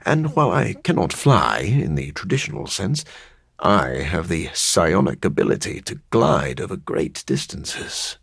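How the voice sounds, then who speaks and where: A man voicing a brooding, intellectual vampire scientist in a video game, formal and articulate with dry wit and a gravelly, husky voice. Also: sits low, big pitch swings, a little slow, fairly bright tone, heavily acted. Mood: measured, explanatory. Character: brooding, intellectual adult male vampire scientist, dryly witty. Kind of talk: video game character dialogue.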